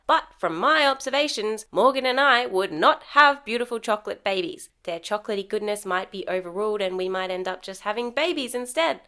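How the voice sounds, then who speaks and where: A woman's voice: mid-range voice, a bit bright. Wry, sarcastic woman podcaster. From Sri Lankan heritage. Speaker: podcast host. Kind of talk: solo comedic podcast monologue.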